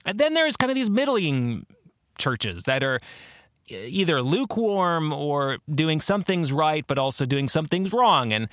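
A man hosting a podcast, explaining theology in a conversational, earnest way with expressive emphasis. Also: on the high side, unhurried, no echo, clean studio sound. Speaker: podcast host.

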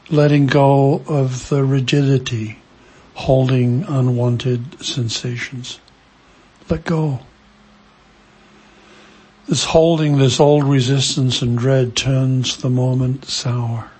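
A man with a very husky, breath-laden voice guides a meditation. He speaks very slowly and gently, in short hushed phrases. Long pauses separate each phrase from the next. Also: medium pitch.

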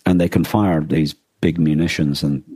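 Man, very low pitch, dry sound, casual podcast discussion, halting, explanatory. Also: steady tone, mellow, slightly rough, no room sound. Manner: halting, thinking aloud, explanatory. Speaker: podcast co-host. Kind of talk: casual discussion of a radio drama.

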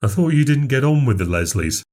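A man's voice: mid-range voice, expressive, a little fast, a little mellow, lightly acted. A man voicing an exasperated, grumbling middle-aged father in an audiobook. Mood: nervous, doubtful. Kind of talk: audiobook character voice.

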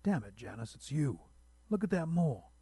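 Man reading a defensive husband, mellow and husky. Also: on the high side, big pitch swings, moderate pace, no echo, voice pushed up, heavily acted. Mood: exasperated. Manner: casual, teasing, protesting. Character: laid-back, defensive husband proud of his sand art.

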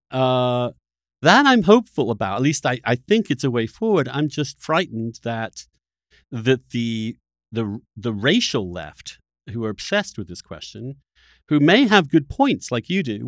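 A man in casual political discussion as a podcast host, emphatic and often halting to restart his phrases. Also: mid-range voice, dry recording, clean studio sound. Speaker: podcast host in conversation with a guest.

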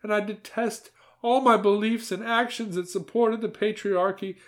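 A man doing a gushing falsetto grandmother. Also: fairly even intonation, moderate pace, natural voice. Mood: fervent. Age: older. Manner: high fervent falsetto, prayer-like recitation. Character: elderly grandmother confessing, gushing and fervent.

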